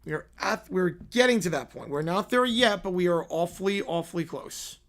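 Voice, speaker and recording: A man with a worn, hoarse voice calling college football play-by-play live on a stream, talking to chat between plays. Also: high voice, normal speed. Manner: excited, rising commentary on big plays, quieter asides to chat.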